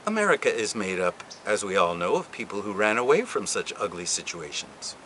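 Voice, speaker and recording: Man giving an expressive literary reading. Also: on the low side, a little hiss or hum. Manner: expressive, deliberate delivery with dramatic pauses. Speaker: reader.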